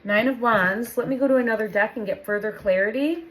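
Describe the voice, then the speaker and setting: A woman's voice: a little high, fairly quick, slight background noise, low-quality recording. A woman hosting a casual tarot reading, chatty and meandering, repeating her phrases, and still recovering from a cold. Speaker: tarot reader host.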